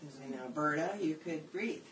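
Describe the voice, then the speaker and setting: An older woman from Canada casually telling family stories in an animated, repetitive storytelling way. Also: low-pitched, moderate pace. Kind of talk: casual reminiscing about family history. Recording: echoey, noisy room, distant microphone.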